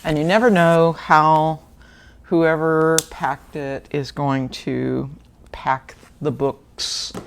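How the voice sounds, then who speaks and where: Slow, low-voiced woman with a slight gravel. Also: steady tone, a little hiss or hum. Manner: unhurried, rambling with fillers and small laughs. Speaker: book channel host. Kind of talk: casual unboxing chat.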